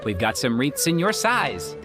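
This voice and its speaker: Hugely animated, teasing theater colleague. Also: female voice, very deep voice, a little slow, full character voice. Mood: reassuring. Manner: chatty, teasing. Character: friendly, playful, teasing theater troupe colleague. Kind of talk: video game voice acting. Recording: background music.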